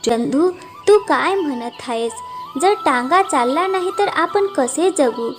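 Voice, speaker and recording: A woman's voice: sits high, lively intonation, takes it slowly, faint music behind, voice pushed up. A woman playing an anxious, caring wife from a poor family in a cartoon moral story, with a questioning manner. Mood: shocked, worried.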